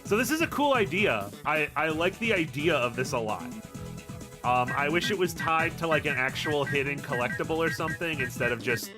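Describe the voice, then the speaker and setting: A man's voice: fairly high pitch, animated, brisk. A man giving casual, sarcastic gameplay commentary with some grumbling and swearing, as a streamer would. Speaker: video game streamer. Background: game music.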